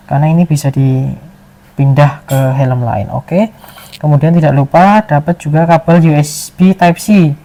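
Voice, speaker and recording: A man with a slightly higher-than-average voice talks through a product tutorial. He is informal and conversational, working out details aloud as he explains them to viewers. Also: warm tone. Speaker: product reviewer and vlogger. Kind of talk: casual unboxing and tutorial talk.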